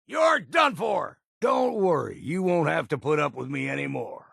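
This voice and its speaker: Game companion role: weathered older island guide, heavy fry and rasp, blunt folksy drawl, wary and gruff. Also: male voice, a little high, big pitch swings, moderate pace, fairly bright tone, no echo, raised voice, clean studio sound, heavily acted. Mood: combative shouting. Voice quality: gravelly, raspy. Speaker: companion character in a video game. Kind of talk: video game voice lines.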